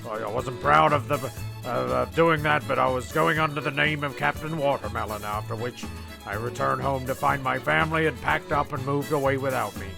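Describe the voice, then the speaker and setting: A man's voice: mid-range voice, moderate pace, creaky, music in the background. A man playing a rambling, exasperated narrator of a comic castaway radio play. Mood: wistful rambling.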